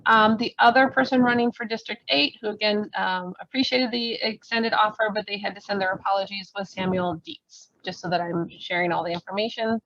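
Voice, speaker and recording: A woman's voice: mid-range voice, fairly even intonation, brisk, hardly any pauses, fairly bright tone, dry recording, dull audio. A woman moderating a forum in a chatty, informal way.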